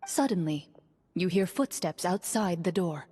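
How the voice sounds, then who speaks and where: Breathy, composed swordswoman, calm, measured, long reverb, faint music. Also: fairly low pitch. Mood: narrating. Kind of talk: game voice acting.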